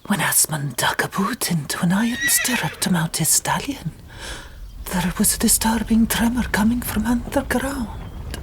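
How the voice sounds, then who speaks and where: A woman reads a story as an audiobook narrator in a hushed whisper. Her delivery is slow, deliberate and dramatic, in an ASMR storytelling style, at a medium pitch.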